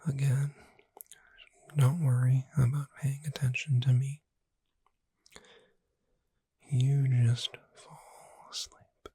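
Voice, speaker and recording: Lady doing a gentle witch bookseller for asmr, super breathy whisper, dark and soothing, right up on the mic. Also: extremely low pitch, level tone, dry recording, exaggerated voice. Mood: kindly, reassuring. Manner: soothing, unhurried, hushed whispering. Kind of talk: soft-spoken ASMR roleplay. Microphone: close microphone in a dry space.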